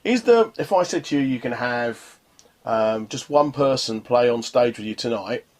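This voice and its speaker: Animated male radio host. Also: mid-range voice, moderate pace. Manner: chatty, rambling, tag questions. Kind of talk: casual radio interview.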